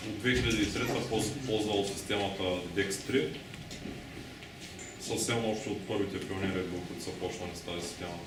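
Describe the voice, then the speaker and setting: Quick low-voiced interpreter in an echoey hall. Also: male voice, fairly even intonation. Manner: quick and summarizing, with filler sounds. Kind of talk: consecutive interpreting. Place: conference hall. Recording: echoey hall, distant mic, noise.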